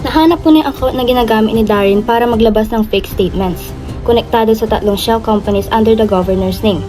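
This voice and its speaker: Woman playing cautious loyal female confidante, high voice, radio drama, clear music behind. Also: fast talker, natural voice. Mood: worried, urgent. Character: earnest, cautious young female ally of a whistleblower. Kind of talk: Filipino radio drama acting.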